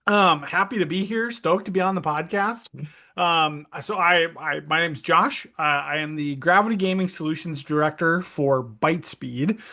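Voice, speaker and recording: A man in his thirties with an Upper Midwest accent, chatting casually as an interview guest, relaxed and friendly with plenty of ums. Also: fairly high pitch, normal speed. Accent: Upper Midwest American. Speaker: guest, esports director.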